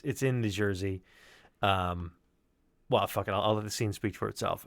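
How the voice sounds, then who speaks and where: A man giving a casual technical walkthrough as a sound designer on a livestream, thinking aloud haltingly and swearing now and then. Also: lowish voice, smooth, no room sound. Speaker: sound designer presenting work.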